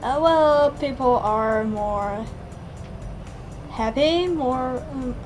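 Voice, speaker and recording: Hesitant exchange student from China. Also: female voice, high-pitched, extremely slow, darkish tone, faint music behind, poor audio. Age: teens. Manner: hesitant English.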